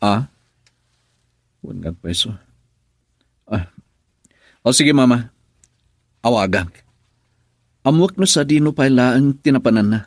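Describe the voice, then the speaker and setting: A man's voice: medium pitch, lively intonation, slow pace, pauses often, no room sound, studio quality. A man acting a defensive, troubled husband in an emotive Ilocano radio drama. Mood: evasive.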